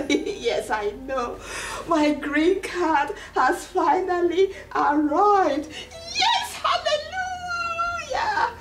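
A woman acting an excitable, apologetic immigrant woman in a comedy sketch, with a Ghanaian accent. She gushes and restarts eagerly. The sound is roomy and distant, with a light hiss. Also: very high-pitched, drawn-out words, few pauses, heavily acted. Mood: elated, gushing. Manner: gushing, hesitant restarts. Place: indoor office waiting room and counter. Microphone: far camera.

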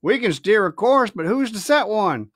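A man's voice: high-pitched, sing-song, moderate pace, no room sound, clean studio sound. A man reading a storybook, voicing a sly, domineering pirate ship's cook with hectoring, colloquial sailor speech. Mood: scornful, scolding.

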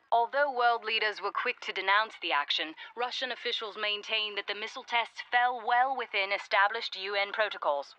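A woman playing a formal, composed British news anchor in a video game, reading a scripted bulletin in a measured, clearly articulated way. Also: mid-range voice, sharp tone, lightly acted, low-quality recording.

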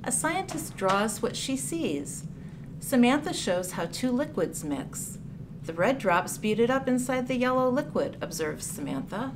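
Lively woman reading a children's storybook. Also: a little high, measured pace. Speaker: librarian reading a storybook aloud. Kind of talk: read-aloud picture book for children. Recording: clear background noise, room ambience.

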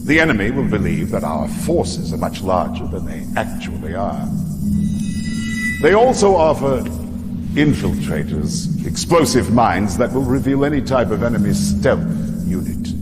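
An actor playing a solemn middle-aged military advisor giving a dramatic briefing in a video game. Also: male voice, lowish voice. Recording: long hall reverb under loud music.

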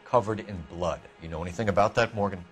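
A man's voice: low voice, quick pace, faint music behind, lightly acted. A man playing a stern, determined police detective in a video game, pressing suspects in a firm, accusatory, commanding way. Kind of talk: scripted video game acting.

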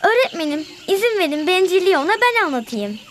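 A well-behaved young pupil in a children's cartoon. The voice is very high, with an earnest, explanatory delivery and faint noise behind it. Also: female voice, sing-song, fast. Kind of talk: children's educational cartoon acting.

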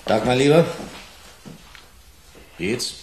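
A man's voice: medium pitch, expressive, takes it slowly, background noise. A man playing a familiar, patronising, sceptical police detective in a German radio crime drama. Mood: familiar, probing.

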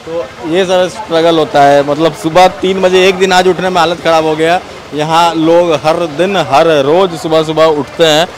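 Chatty young man, high voice. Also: fairly even intonation, moderate pace, low-quality recording. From Bihar, India. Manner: curious, chatty, asks short follow-up questions. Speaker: food vlogger. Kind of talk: casual street interview vlog. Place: busy outdoor fish market before dawn.

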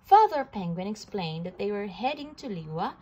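A woman reading a children's picture book aloud, slowly and with animated delivery. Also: mid-range voice, breathy edge. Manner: slow, animated storytelling with occasional restarts. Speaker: storybook reader.

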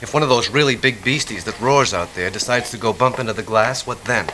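A man's voice: mid-range voice, brisk, pushed and bright, a little hiss or hum, natural voice, rough recording. A man acting in an audio drama as a practical, calculating survivor, methodical, matter-of-fact and wry. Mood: calculating, urgent. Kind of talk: naturalistic radio drama acting.

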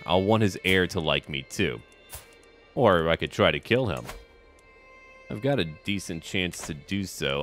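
A low-pitched man commentates on a strategy game as if living the part. His intonation swings widely as he muses aloud in a scheming, theatrical way. Music plays underneath. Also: fairly bright tone. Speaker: gamer narrating a strategy game.

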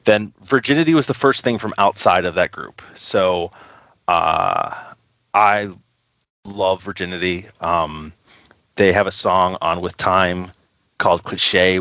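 A man's voice: low-pitched, animated, unhurried, a bit bright, no room sound. A man speaking casually as a podcast guest, rambling enthusiastically through stories about his work. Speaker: podcast guest, record label co-founder. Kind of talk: casual interview chat.

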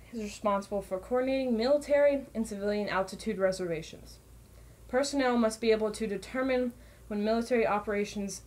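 A woman's voice: medium pitch, darkish tone. A woman reading scripted documentary narration in a plain, informational way, occasionally stumbling over words. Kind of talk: scripted narration, read aloud.

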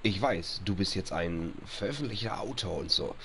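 Stuffy-nosed young gaming commentator. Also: male voice, low-pitched, animated, normal speed, fairly bright tone, a little hiss or hum. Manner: chatty, reads on-screen text aloud, grumbles at bugs. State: stuffy nose, sneezing. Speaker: let's play host. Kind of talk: casual gameplay commentary.

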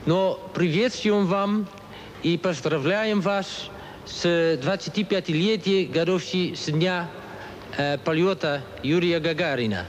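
A man's voice: high-pitched, measured pace, slight background noise. A middle-aged man from the Netherlands with a Dutch accent, asking questions from a TV studio and stumbling over his words. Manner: hesitant, stumbling in Russian.

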